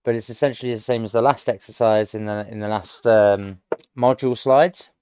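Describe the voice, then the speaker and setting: A British man in his late thirties talks himself through a language course, explaining every answer piece by piece and stopping often to think. His voice is smooth and sits mid-range. The recording is dry and slightly dull. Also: on the low side, steady tone, fairly quick, darkish tone, no room sound. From United Kingdom. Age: late 30s. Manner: explains each answer step by step, musing, with frequent hesitations. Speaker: self-taught learner streaming. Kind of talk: thinking aloud while working through lessons.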